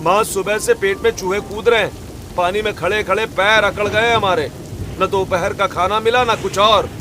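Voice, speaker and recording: A man playing a hungry, irritable grown farmer son in an animated family story, complaining emphatically. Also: high voice, moderate pace, noisy background, close to an everyday voice. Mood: irritated, complaining. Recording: loud rain ambience behind voice.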